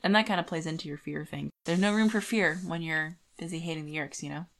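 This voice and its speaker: Low-pitched woman chatting about books. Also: fast. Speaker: podcast co-host. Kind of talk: casual book discussion.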